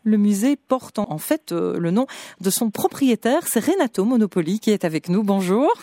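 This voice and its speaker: A radio host introducing a guest and asking clear, friendly interview questions in a studio. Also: medium pitch, expressive, normal speed, dark tone, no echo.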